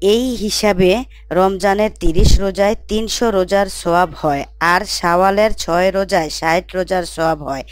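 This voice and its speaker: A woman's voice: lowish voice, steady tone, normal speed, no room sound, close to an everyday voice. A woman playing a devout, attentive wife and mother in a scripted Islamic story, speaking in an earnest, explanatory manner.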